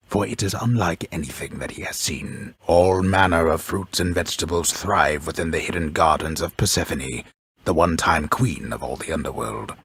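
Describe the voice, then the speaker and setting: An actor playing a solemn, wry storyteller of underworld myths in a video game, with grandiose, measured delivery and a gravelly, husky voice. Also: male voice, low voice, a bit bright, dry recording, clean studio sound, heavily acted. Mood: wry, dramatic. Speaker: storyteller narrator. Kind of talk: scripted dramatic video game narration.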